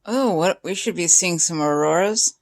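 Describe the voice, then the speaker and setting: A woman's voice: on the low side, moderate pace. An older woman in casual chat, asking curious questions and giving short reactions. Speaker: interviewer questioning the other speaker.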